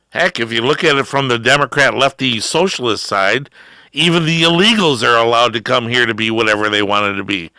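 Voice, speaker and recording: A middle-aged man holds forth on his talk show in a slow, drawn-out ramble. His voice is bright and clear, pushing up hard on the words he stresses. The sound is close and dry, with no room around it. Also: lowish voice, no room sound. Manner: drawn-out, rambling monologue with emphatic rises. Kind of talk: ranting political talk.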